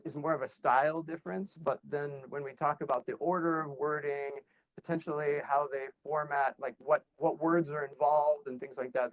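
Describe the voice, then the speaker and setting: A man's voice: on the high side, rough recording. Casual, meandering man giving a conference talk. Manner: loose, conversational, many fillers and restarts. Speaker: conference speaker. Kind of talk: conference talk presenting slides.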